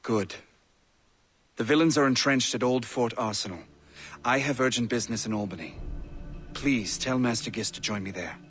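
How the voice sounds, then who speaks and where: An actor playing a courteous, authoritative gentleman officer in a video game, formal and grave. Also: medium pitch, moderate pace, pauses often, faint music behind, close to an everyday voice. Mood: commanding. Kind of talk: video game dramatic acting.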